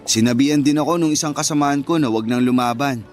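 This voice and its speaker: A man's voice: medium pitch, fast talker, close to an everyday voice. Male actor as young worker and boyfriend, conversational and protesting, radio drama, light music bed. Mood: resigned. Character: frustrated young male fast-food worker, devoted boyfriend.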